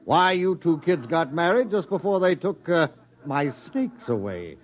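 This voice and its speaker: An actor playing an excitable, boozy racetrack doctor, blustering and exclaiming in 1950s radio comedy style. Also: male voice, on the high side, unhurried. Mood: astonished. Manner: exclamatory, blustering, repeats himself. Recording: muffled vintage 1950s radio recording.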